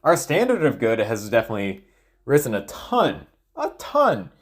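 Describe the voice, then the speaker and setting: A man's voice: medium pitch, huge pitch swings, moderate pace. Man rambling through his thoughts aloud. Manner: hesitant, searching, trails off mid-thought. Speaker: solo audio log creator. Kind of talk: rambling, thinking-aloud monologue.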